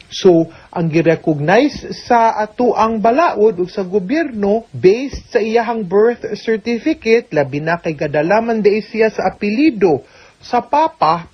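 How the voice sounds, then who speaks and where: A woman's voice: medium pitch, slightly rough, lo-fi sound. A woman with a Cebuano Filipino accent hosting a radio advice show as an attorney, chattily answering a listener's letter and mixing in English with frequent tag questions.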